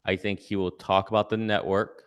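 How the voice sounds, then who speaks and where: A man's voice: low voice, flat delivery, fairly quick, clear, resonant tone, dull audio. A man giving casual conversational analysis as a guest commentator, explaining step by step and checking in with "right?". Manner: explanatory, tags points with "right?".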